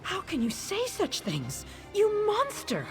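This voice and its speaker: An actress playing a devout, easily shocked religious initiate in a fantasy video game. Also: female voice, very high-pitched, huge pitch swings, slow, music in the background, heavily acted. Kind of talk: video game character dialogue.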